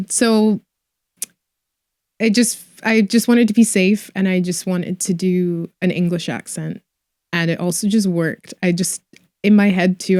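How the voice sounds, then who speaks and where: A woman's voice: medium pitch, darkish tone, dry recording, studio quality. A young woman from Scotland with a mixed Scottish and Canadian accent, chatting as an actress guest, giggly and full of likes and ums. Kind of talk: casual interview chat.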